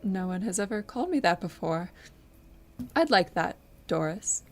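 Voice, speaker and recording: A woman's voice: lowish voice, very animated, moderate pace, faint music behind. A woman playing a proper, hesitant 1950s housewife, eager to please, in an audio drama. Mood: flustered, pleased.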